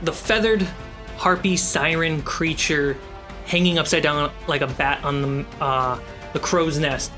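Woman game master for fantasy tabletop narration; low, slow delivery over a clearly audible score. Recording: dramatic music under the voice. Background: background score.

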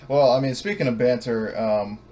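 A man hosting a tabletop roleplaying game as game master, talking casually in a halting, hesitant way. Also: mid-range voice, dark tone, slight background noise.

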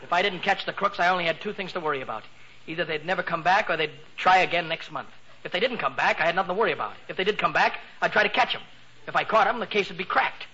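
An American man playing an excitable teenage boy in a 1940s radio comedy, telling his story with breathless mock drama. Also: high-pitched, fast talker. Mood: earnest. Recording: noisy, dull 1940s radio recording.

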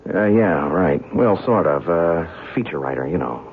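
An actor playing a young skeptical newspaper writer in a 1970s radio drama. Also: male voice, deep voice, expressive, normal speed. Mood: startled, awkward. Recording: tinny, noisy old radio broadcast.

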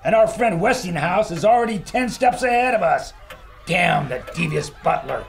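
A man's voice: high voice, animated, moderate pace, gravelly, slight background noise. An actor playing a brash man of action in a pulp radio adventure, punchy, slangy and commanding, with a wisecracking streak. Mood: determined.

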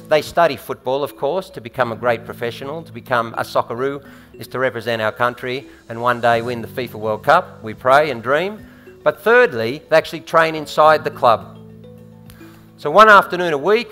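A man's voice: mid-range voice, pushed and bright. A middle-aged man with an Australian accent gives a prepared, emphatic speech on a conference stage through a headset mic. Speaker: panelist, former footballer.

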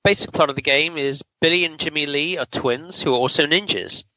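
A man's voice: on the high side, fairly quick, pushed and bright, muffled recording. A man hosting a podcast, presenting a topic in a casual, chatty way. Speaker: podcast host.

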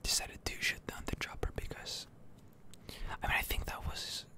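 A gamer whispering ASMR commentary over gameplay, close to the microphone, musing in an unhurried, hesitant way.

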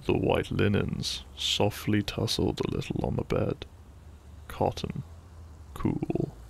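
Man, low creaky voice, slow gentle bedtime narration, soothing and hushed, soft ambient noise behind. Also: fairly even intonation. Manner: soothing, hushed, lingering pauses between short phrases. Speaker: narrator of sleep-aid audio.

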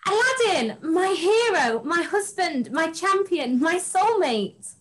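A woman's voice: very high-pitched, sing-song, takes it slowly, a bit bright, heavily acted. A young woman playing a sweet, melodramatic princess in an amateur pantomime read-through on a video call. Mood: adoring. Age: young adult.

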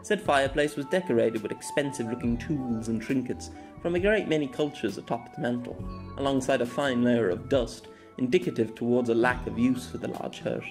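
A man's voice: mid-range voice, a little fast, a little mellow. A man narrating a scripted novella as an audiobook. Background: music.

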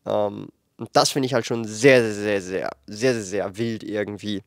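A man in his late twenties talks frankly into a handheld microphone in a studio room, explaining numbers in a lively, thinking-aloud way with fillers. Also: lowish voice, normal speed, gravelly, no room sound. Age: 20s. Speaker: finance YouTuber. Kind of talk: casual explanatory commentary to camera. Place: indoor studio room with shelves of collectibles.

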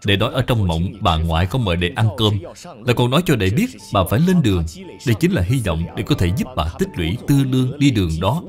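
A man's voice: lowish voice, big pitch swings, quick pace. A man voicing a stern senior Buddhist brother in a cartoon, grave, instructive and reproving. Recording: music behind the voice.

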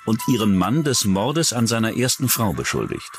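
Low-voiced, animated male documentary narrator. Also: moderate pace. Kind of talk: scripted true-crime documentary narration. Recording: music bed under the voice. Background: music.

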